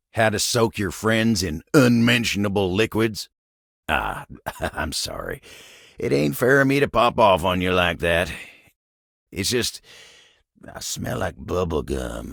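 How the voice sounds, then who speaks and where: A living wooden table from a video game, gruff, proud and old-fashioned, with a gravelly Southern country drawl. He takes his time and his pitch swings widely as he grumbles, bashful and easily flustered. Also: big pitch swings, a bit bright, no echo, studio quality, heavily acted. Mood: bitter, apologetic. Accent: American Southern or rural Western drawl. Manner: folksy, grumbling, flustered, halting when embarrassed. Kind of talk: video game character voice acting.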